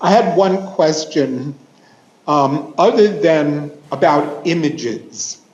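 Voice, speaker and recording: A man's voice: on the high side, slow pace, a little mellow. A man on a panel, asking questions and adding tips in a hesitant way with "uh" and "um". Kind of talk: panel discussion. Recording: dull, roomy conference recording.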